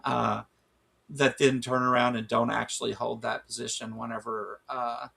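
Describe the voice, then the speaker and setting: A man's voice: medium pitch, little pitch movement, moderate pace, gravelly, bright, forceful tone, breathy edge. A man co-hosting a casual livestream chat, rambling with lots of restarts and fillers, losing his voice. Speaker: show co-host.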